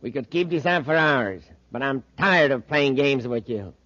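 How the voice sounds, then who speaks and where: Actor as bossy space gangster, muffled radio. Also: male voice, mid-range voice, expressive, moderate pace, a bit bright, a little hiss or hum, natural voice. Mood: menacing, impatient. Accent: American. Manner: threatening, bossy. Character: menacing male gang boss's henchman, impatient schemer. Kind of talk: 1950s radio serial acting. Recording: dull, dry 1950s radio recording.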